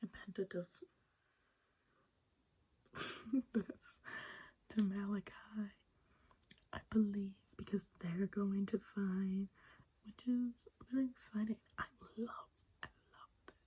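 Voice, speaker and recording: Brief: young woman, soft ASMR whisper, very breathy, mellow tone, chatty and eager. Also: mid-range voice, fairly even intonation, slow pace, long pauses. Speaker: wrestling recap host. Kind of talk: ASMR whispered show recap.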